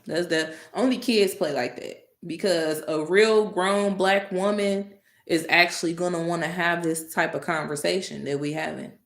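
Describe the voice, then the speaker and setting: A woman hosting a live stream, talking casually and directly to her chat, animated and exasperated with commenters. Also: lowish voice, normal speed, roomy sound.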